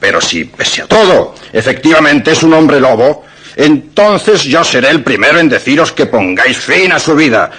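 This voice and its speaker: An actor with a Castilian accent playing a solemn, loyal brother, formal and declamatory, in a radio drama. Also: male voice, fairly high pitch, sing-song, normal speed, fairly bright tone, husky, exaggerated voice. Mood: resolute, grave. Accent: Castilian Spanish. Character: solemn, loyal brother defending an accused man. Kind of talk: Spanish radio drama acting.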